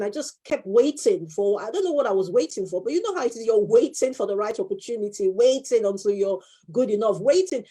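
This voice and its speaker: A woman's voice: a little high, mellow, slightly rough. A woman in her fifties, a life coach and former solicitor, giving an earnest motivational talk and repeating her key phrases for emphasis.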